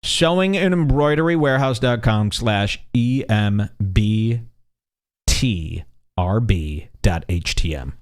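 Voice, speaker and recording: A man hosting a comedy radio show, setting up a prank call with mocking commentary and drawn-out emphasis on words. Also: on the low side, expressive.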